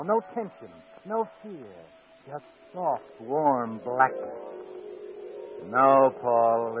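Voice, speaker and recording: An actor playing an ominous, insinuating narrator who addresses a killer in a deliberate 1940s radio thriller style. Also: male voice, mid-range voice, phone-quality sound, close to an everyday voice. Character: the Whistler, sinister omniscient male narrator addressing the killer. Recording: noisy old radio broadcast recording.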